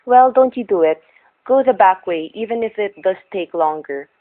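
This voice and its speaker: A woman's voice: mid-range voice, lively intonation, normal speed, natural voice. An actress playing a chatty, folksy old woman who runs a roadside diner in a radio drama, with homespun, rustic speech. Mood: gossipy, concerned. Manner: gabby, homespun, rustic grammar. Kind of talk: old-time radio character acting. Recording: narrow, tinny vintage radio recording.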